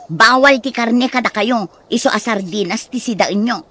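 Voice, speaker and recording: A high-pitched, haughty young wife, acted by a woman for radio drama. She scolds and orders her in-laws around with scorn, in a Filipino accent. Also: moderate pace, a bit bright. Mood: scornful, irritated. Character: bossy, scornful gambling daughter-in-law ruling her household.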